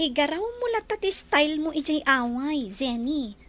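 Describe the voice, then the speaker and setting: Shy young working woman for radio drama, played by an actress: very high voice, humble, hesitant, deferential. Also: fairly bright tone, exaggerated voice. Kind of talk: Ilocano radio drama acting.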